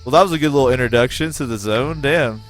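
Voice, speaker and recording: An American man streaming a game, giving excitable, profane play-by-play and chatting with viewers. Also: medium pitch, quick pace, soft background music. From United States. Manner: excitable, profane, talks to chat. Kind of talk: casual gameplay commentary.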